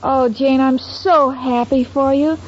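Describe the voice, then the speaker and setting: An actress playing a sweet, dim-witted, literal-minded young secretary in a naive, earnest 1940s radio comedy style. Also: female voice, high voice, fairly even intonation, takes it slowly. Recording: noisy, muffled 1940s radio recording.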